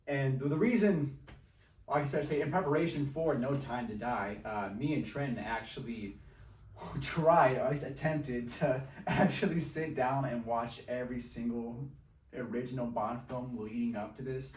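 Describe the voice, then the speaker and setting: A man's voice: mid-range voice, normal speed, a little mellow. Echoey, distant-sounding male podcast co-host. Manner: informal, conversational. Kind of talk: casual film discussion. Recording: roomy echo, distant microphone.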